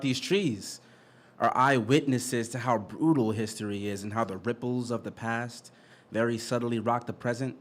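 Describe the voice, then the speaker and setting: A man's voice: mid-range voice, fairly bright tone. Man reciting spoken word poetry. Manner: rhythmic, measured recitation. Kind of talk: performed poetry. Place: small indoor venue with an audience.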